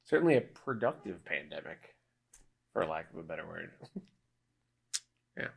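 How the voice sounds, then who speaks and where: A thirty-year-old man with a lowish voice chats casually on a podcast. He sounds laid-back and wry, and he laughs often between his remarks. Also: sing-song, moderate pace, vocal fry, husky. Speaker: podcast co-host.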